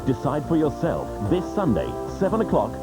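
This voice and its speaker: Male promo announcer, British, very swooping dramatic read, loud music underneath. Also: fairly high pitch, huge pitch swings, moderate pace. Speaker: trailer announcer.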